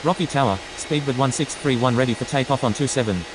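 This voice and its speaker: A businesslike female airline pilot, played by an actress in a flight game, has an extremely low voice. She gives scripted radio calls through clear hiss. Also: quick pace.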